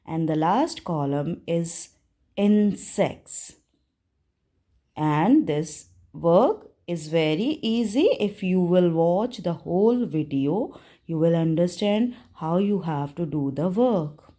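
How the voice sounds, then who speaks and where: A woman teacher narrating a simple lesson for young children, explaining deliberately in short phrases. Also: on the low side, animated, warm tone. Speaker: primary school teacher.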